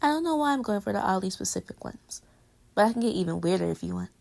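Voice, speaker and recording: Woman acting a creaky-voiced, playful clingy girlfriend. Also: medium pitch, expressive, quick pace, a little mellow. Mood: playful, affectionate. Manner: teasing, rambling, swinging from murmurs to exclamations. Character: playful clingy young girlfriend, teasing and affectionate. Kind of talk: intimate girlfriend roleplay audio, pillow talk.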